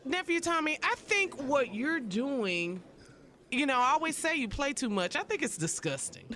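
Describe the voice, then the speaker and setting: A woman's voice: high voice, big pitch swings, normal speed, pushed and bright. A woman from Houston co-hosting morning radio banter, teasing and emphatic with mock indignation. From Houston, Texas. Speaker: radio show co-host.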